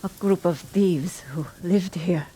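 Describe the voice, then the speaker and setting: An actress playing a solemn village woman telling of dark rumors in a video game drama. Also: fairly low pitch, takes it slowly, slight background noise, poor audio. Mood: solemn, wary.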